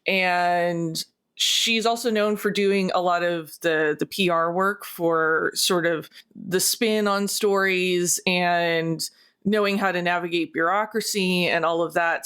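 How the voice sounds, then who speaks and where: A woman's voice: lowish voice, a bit bright, clear, resonant tone. A woman chatting casually as a player in a tabletop game discussion. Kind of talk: casual chat.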